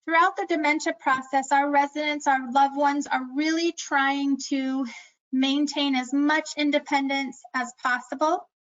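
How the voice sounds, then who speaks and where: A woman with a high-pitched voice presenting an earnest, instructive talk on memory care, with slightly dull audio. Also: steady tone, fairly bright tone. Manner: earnest, instructive, self-aware about speaking fast. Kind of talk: scripted educational presentation.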